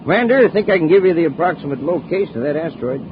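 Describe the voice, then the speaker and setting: A man's voice: on the high side, expressive, rapid-fire, lightly acted. A man playing a meek, earnest and deferential math prodigy in a 1950s radio adventure. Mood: thoughtful. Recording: dull, noisy 1950s radio recording.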